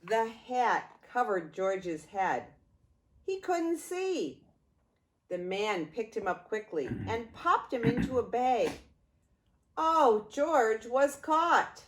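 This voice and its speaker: An older woman, a grandmother, reading a children's story aloud in an expressive way, drawing out words and pausing. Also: a little high. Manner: expressive, with drawn-out words and pauses.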